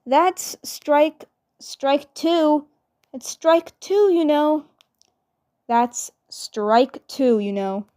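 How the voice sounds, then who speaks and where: Woman acting a flustered, high-voiced teenage girl. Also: extremely slow, warm tone. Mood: protective warning. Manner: emphatic, repeats phrases. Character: flustered, defensive teenage sister, feisty and lovestruck.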